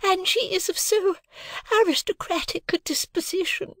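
A man's voice: very high voice, normal speed, fairly bright tone, no echo, voice pushed up. A man voicing a gushing, fawning middle-aged lady, a toadying neighbour in a Victorian novel audiobook, cloyingly sentimental. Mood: gushing, tearful sympathy.

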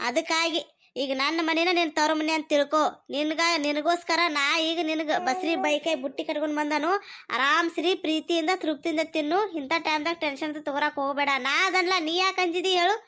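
A woman's voice: high voice, fairly even intonation, a little fast. A woman playing a kindly village woman who coaxes a pregnant friend in a one-person comic skit, speaking. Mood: comforting. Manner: gentle, coaxing, motherly.

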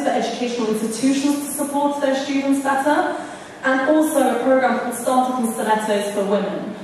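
A woman speaking at a podium in a large, echoey hall, heard from a distance with a long reverb tail. Her voice sits a little high for a woman, and she talks in an earnest, storytelling way. She lifts and stresses the words that matter most. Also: a little mellow, slight background noise. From Iran. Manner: earnest, storytelling, rising emphasis on key words. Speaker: award recipient giving acceptance speech. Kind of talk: public acceptance speech. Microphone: podium mic, camera far off. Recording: echoey hall recorded from far off.

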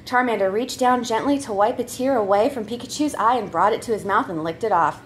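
A woman's voice: a little high, fairly quick, a little hiss or hum. A woman reading a comic story aloud as a performer, giggly and prone to breaking up as she goes. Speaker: comedy troupe member reading a story.

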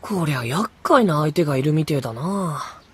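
A man's voice: fairly high pitch, expressive, moderate pace, a bit bright, breathy edge, no room sound, full character voice. A male actor voicing a boyish, easygoing warrior captain in an anime game, casual, blunt and confident. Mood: unruffled.

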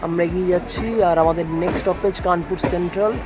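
A man's voice: sits high, level tone, poor audio. A young man with a Bengali accent, vlogging on a trip and talking to a phone held at arm's length. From West Bengal. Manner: brisk, mixes English words into Bengali. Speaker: travel vlogger. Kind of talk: on-camera travel vlog. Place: train stations and a bus terminal, indoors and out. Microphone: handheld phone at arm's length.

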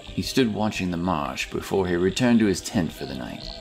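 A man reading a scripted fantasy story as an audiobook narrator, in a dramatic, deliberate manner. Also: sits low, expressive, faint music behind.

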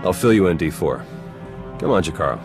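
A man's voice: deep voice, expressive, brisk, over music. A man playing a pragmatic spy ally in a video game, plain-spoken, dry and conversational. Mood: sober. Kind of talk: naturalistic video game acting.

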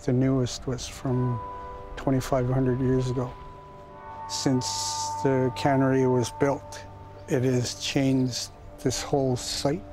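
A man's voice: mid-range voice, monotone, takes it slowly, over music, low-quality recording. A man giving a reflective account in an interview. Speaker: local interviewee.

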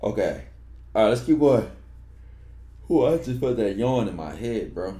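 Young male streamer, low-ish voice, reads aloud casually, slangy asides, echoey room. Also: moderate pace. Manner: relaxed, slangy, loud outbursts when joking. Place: bedroom streaming setup. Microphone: headset.

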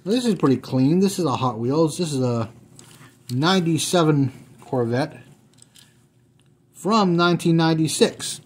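A man's voice: fairly high pitch, animated, a little slow, long pauses, slightly rough. A middle-aged man chatting casually as he unboxes old toy cars at a table at home, thinking aloud as he handles each one. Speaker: toy car collector unboxing.

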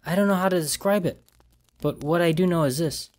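A man's voice: a little high, a little fast, pitched above the performer's own voice, natural voice. A man voicing a panicked young woman who is speaking over the phone in a horror story. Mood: frightened. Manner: frantic, breathless.

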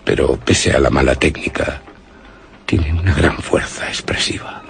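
An actor voicing the hushed, brooding thoughts of a fascinated man in a gothic radio drama. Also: extremely low pitch, fairly even intonation, unhurried, gravelly, faint music behind, heavily acted. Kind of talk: interior monologue in suspense radio drama.

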